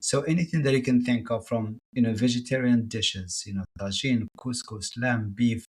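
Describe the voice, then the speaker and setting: Brief: husky mid-pitched man from Morocco, eager interview guest, halting restarts. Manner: warm, enthusiastic, many restarts and fillers. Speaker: podcast guest. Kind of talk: casual interview answers.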